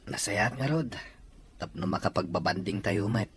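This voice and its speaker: A man's voice: lowish voice, moderate pace, a bit bright, a little hiss or hum, close to an everyday voice. A man playing a hardworking, exasperated husband and father in an audio drama, sounding weary and defensive. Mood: casual. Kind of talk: amateur audio drama dialogue.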